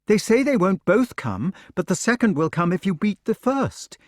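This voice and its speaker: Casting: flustered young maid, high and hurried, put on by a male narrator, crisp dry sound. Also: very animated, no room sound. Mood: anxious.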